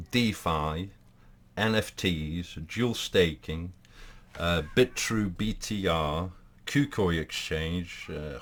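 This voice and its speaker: Portuguese-accented male host, deep voice, loose and rambling with lots of restarts. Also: a bit bright. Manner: rambling, many fillers and restarts. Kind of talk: casual live talk.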